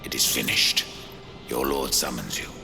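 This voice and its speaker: An actor playing a grim, defiant horseman of death in a video game cutscene, terse and dry, with a deep gravelly voice. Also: lively intonation, normal speed, full character voice. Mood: cold defiance. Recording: loud cinematic music behind.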